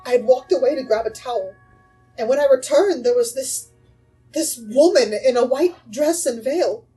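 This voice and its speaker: A woman's voice: sits high, moderate pace, dark tone, faint music behind, natural voice, low-quality recording. A woman playing an anxious, hesitant mother asking for help in an audio drama. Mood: frightened, hesitant. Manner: hesitant, faltering start. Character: shaken, anxious young mother seeking help.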